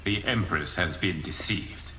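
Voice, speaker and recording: A man playing a calm, noble protector of the realm in a game cutscene, with solemn, formal diction. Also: deep voice, measured pace, slight background noise, rough recording. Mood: solemn, concerned. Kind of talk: dramatic video game cutscene acting.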